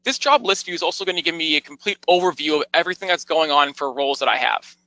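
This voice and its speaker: A man with a fairly high, bright voice presents a software demo. He talks informally and explains each step in turn. The sound is close and dry, like a screen-recording voice-over. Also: lively intonation, fast, no echo, poor audio. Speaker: software product demonstrator. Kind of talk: conversational product tour narration.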